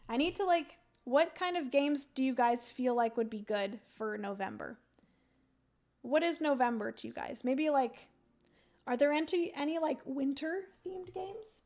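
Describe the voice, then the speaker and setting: A young woman on a livestream, talking through her moves in a solo board game and chatting with viewers, her voice going a little hoarse. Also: high voice, measured pace, pauses often, darkish tone. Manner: chatty, thinks aloud, greets chat viewers. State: losing her voice. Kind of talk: casual livestream play-by-play.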